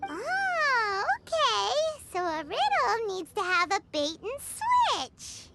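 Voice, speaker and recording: A woman voicing a small, childlike, excitable fairy companion in a video game, with a squeaky, theatrical delivery. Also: takes it slowly, gravelly, full character voice. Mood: cheerful. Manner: theatrical, speaks of self in third person. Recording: loud music behind the voice.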